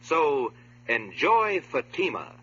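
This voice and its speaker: Bright, animated male radio pitchman. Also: slow. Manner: emphatic, polished salesmanship. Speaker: commercial announcer. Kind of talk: 1950s radio advertising pitch. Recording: dull, hissy old radio recording.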